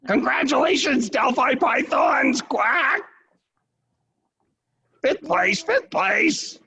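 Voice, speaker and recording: Someone doing a squeaky quacking purple duck. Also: animated, drawn-out words, vocal fry, breathy edge, full character voice. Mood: excited cheering. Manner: quacks between sentences, squeals and shouts cheers. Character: silly purple duck mascot puppet. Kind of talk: school awards video skit.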